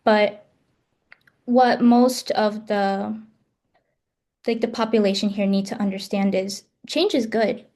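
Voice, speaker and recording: A woman's voice: mid-range voice, slow pace, long pauses, a little mellow. A young woman from the United States answering panel questions on a video call, hesitant, with many fillers and restarts. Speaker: student presenter. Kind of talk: online panel Q&A.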